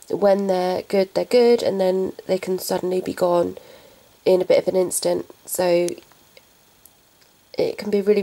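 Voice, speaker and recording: A young woman vlogging about her pets, talking softly and haltingly while getting over a cold. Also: medium pitch, creaky, rough recording. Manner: soft, halting, subdued. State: recovering from a cold. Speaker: pet vlogger. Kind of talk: casual talk to camera.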